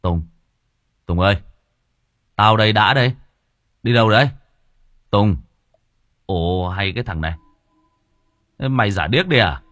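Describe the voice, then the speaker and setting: A man voicing a drunk, shaken young man in a spoken horror story, stammering and rough. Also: very deep voice, fairly quick, long pauses, a little mellow, no room sound, clean studio sound, pitched below the performer's own voice, heavily acted. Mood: calling.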